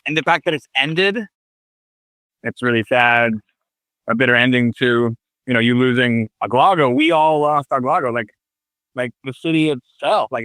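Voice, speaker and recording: A man's voice: mid-range voice, sharp tone, smooth, no echo, low-quality recording. A man speaking reflectively and with emphasis in an interview. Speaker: member of the house's community, interviewee.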